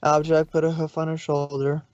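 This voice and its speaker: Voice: medium pitch, completely flat delivery, a little fast, darkish tone, muffled recording. A narrator reading a scripted story aloud in a storytelling style.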